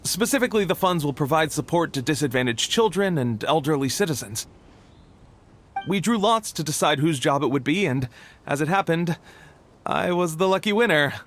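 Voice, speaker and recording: A man's voice: on the high side, animated, normal speed, a bit bright. A man playing an earnest, anxious, overly polite young logistics worker in a video game, speaking formally and a little flustered. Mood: anxious, humble. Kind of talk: video game cutscene acting. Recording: music behind the voice.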